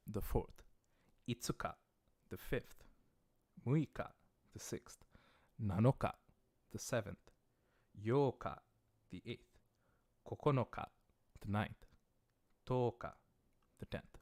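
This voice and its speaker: A man's voice: medium pitch, a little mellow, dry recording. Patient man teaching Japanese dates. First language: English. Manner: patient, explains step by step, reads viewer questions aloud; slows down to pronounce Japanese words carefully. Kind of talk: livestreamed language lesson.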